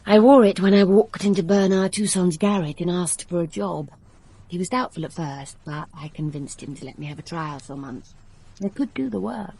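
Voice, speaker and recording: An actress in a radio drama playing a cool, frank, worldly young woman with a husky voice. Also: lowish voice, normal speed, dark tone. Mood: frank, reminiscing.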